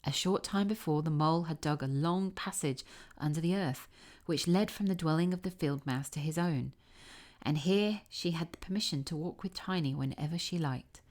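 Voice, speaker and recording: A woman reading a classic fairy tale aloud as a storybook narrator, expressive and careful. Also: low voice, moderate pace.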